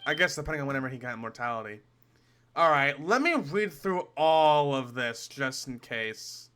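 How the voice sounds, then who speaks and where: A man's voice: medium pitch, expressive, moderate pace, fairly bright tone, soft background music. A young man giving casual reaction commentary as a streamer, joking, swearing and musing aloud.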